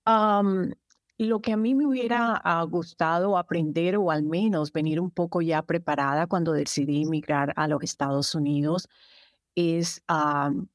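A woman in her fifties from Colombia, answering interview questions in a measured, thoughtful way with frequent hesitations. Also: fairly low pitch, steady tone, dry recording, clean studio sound. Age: 50s. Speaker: guest, immigration agency director.

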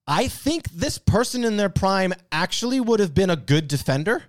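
Animated, rambling, slangy man in casual banter. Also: sits high, normal speed, no room sound, studio quality. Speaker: podcast co-host.

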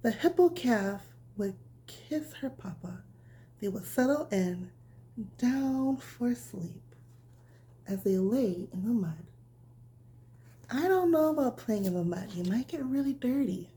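A woman reading a picture book aloud as a bedtime storyteller, speaking in a nurturing, simple way as if to a small child, with a breathy voice that often drops toward a whisper. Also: a little high, lively intonation, takes it slowly, long pauses, dark tone.

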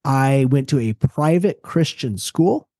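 A man with a relaxed, mellow voice co-hosts a religious discussion. He speaks earnestly and conversationally, often dropping in "you know". The sound is close and dry. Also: mid-range voice, slow, no room sound. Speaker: podcast co-host.

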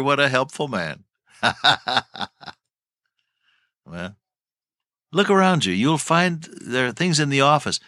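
A man's voice: mid-range voice, pushed and bright, no room sound, clean studio sound. An older man teaching the Bible on radio, telling folksy stories and chuckling as he goes.

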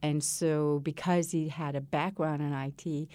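A woman in her sixties from Malaysia, articulate and measured as she answers questions in an oral history interview. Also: low voice, fairly even intonation, dark tone, dry recording. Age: 60s. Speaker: interviewee, college diversity director.

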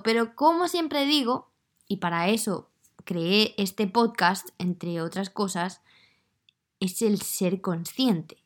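A woman from Madrid with a slightly softened Madrid Spanish accent, hosting a casual solo podcast in a chatty way that speaks straight to listeners. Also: a little high. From Madrid, Spain. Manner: chatty, conversational, addresses listeners directly. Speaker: podcast host.

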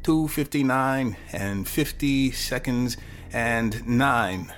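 Give a slow, deliberate dramatic monologue as a brooding teenage boy who can see the future acted by a grown man. The pitch sits at an ordinary male level, and the phrases come haltingly, with weighted pauses. He is intense and troubled, telling his own story in a podcast drama. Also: fairly bright tone, faint music behind. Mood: brooding, tense. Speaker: writer performing own short story. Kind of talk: dramatic first-person monologue, flash fiction podcast.

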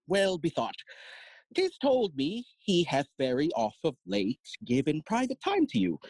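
A woman playing a fussy, long-winded old father and counsellor in a classical Shakespeare audio play, husky and halting, with little hums and chuckles. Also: deep voice, a little mellow, no room sound, full character voice, poor audio. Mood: probing, mocking. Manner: theatrical, halting, chuckling and humming between phrases.

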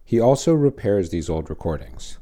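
Male host-narrator, low and mellow tone, dry humor in a scripted read. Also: very animated, moderate pace. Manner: wry, dry asides.

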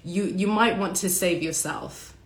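A woman hosting a casual livestream monologue, hoarse and raspy from a cold, talking passionately and bluntly with casual swearing. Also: fairly low pitch, measured pace, roomy sound. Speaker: podcast host.

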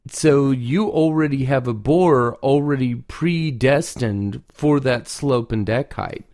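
A man with a mid-pitched voice talks through a craft lesson off the cuff. He takes his time and often repeats words to stress a point. The sound is dry, with very little room in it. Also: takes it slowly, a little mellow, no room sound. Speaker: duck call maker giving advice. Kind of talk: informal off-the-cuff instructional talk.

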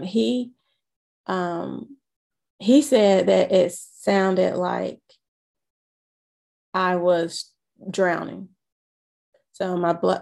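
A woman in her thirties slowly recounting a personal experience, halting often with fillers. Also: lowish voice, gravelly, darkish tone. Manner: slow, halting storytelling with many fillers. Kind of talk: personal testimony.

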